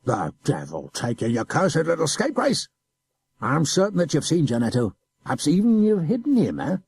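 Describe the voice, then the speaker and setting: A man's voice: on the high side, wildly swooping pitch, normal speed, creaky, darkish tone, slightly rough, no echo, voice pushed up, full character voice. A man voicing a blustering middle-aged soldier who threatens and chuckles as he bullies a boy. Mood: angry threatening. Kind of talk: audiobook character voice.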